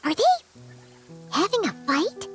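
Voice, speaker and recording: A woman voicing a tiny, naive, excitable childlike companion creature in a video game, with a squeaky voice. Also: measured pace. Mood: naive, curious. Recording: loud music behind the voice. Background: loud game music.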